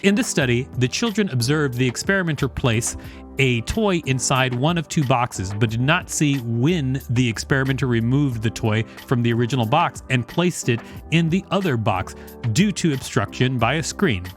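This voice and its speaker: A man's voice: mid-range voice, expressive, moderate pace, soft background music. A man hosting a podcast, reading an encyclopedia article aloud with the occasional brief aside.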